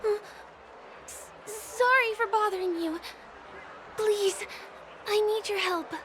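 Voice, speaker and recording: A woman's voice: very high-pitched, slow, noisy background. A woman voicing a timid, pleading young lady in a game, speaking hesitantly. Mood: anxious, pleading. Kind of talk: game voice acting.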